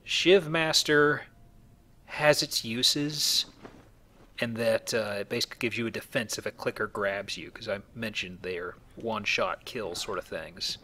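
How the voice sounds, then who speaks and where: A man's voice: on the low side, unhurried, bright, forceful tone, a little hiss or hum. A man hosting a let's play with casual, explanatory game commentary and joking asides.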